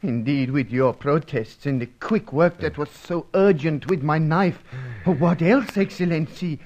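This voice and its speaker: Solemn foreign manservant, slightly high voice, dull old radio sound. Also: male voice, darkish tone. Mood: grave. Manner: formal, solemn, halting phrasing. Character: Angelo, loyal South American manservant, grave. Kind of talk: 1950s radio drama acting.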